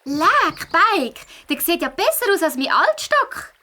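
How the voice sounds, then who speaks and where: A woman playing an excitable young fox, easily discouraged, in a children's audio play with a Swiss German accent. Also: very high voice, takes it slowly, heavily acted. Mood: impressed, grateful.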